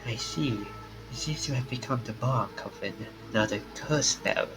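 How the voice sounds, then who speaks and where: Man putting on a measured, menacingly polite villain. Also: medium pitch, lightly acted, low-quality recording. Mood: coolly courteous. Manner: formal, measured, courteous. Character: polite, menacing male sorcerer's associate. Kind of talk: visual novel villain voice. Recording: game music behind the voice.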